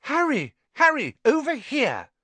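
A man's voice: on the high side, huge pitch swings, normal speed, sharp tone, dry recording, voice pushed up, heavily acted. A man voicing an eager, bossy young schoolgirl with breathless enthusiasm, in a storybook reading. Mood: excited.

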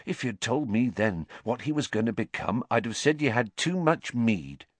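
A man's voice: mid-range voice, expressive, normal speed, dry recording, voice pushed up, close to an everyday voice. A man voicing a chatty, curious female pub landlady with a familiar, gossipy manner in a storybook.

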